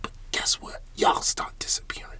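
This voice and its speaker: A man playing a shaken, humble country farmer in an ASMR roleplay, speaking in a halting, breathy whisper and repeating his words. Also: sharp tone, natural voice. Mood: defiant, weary.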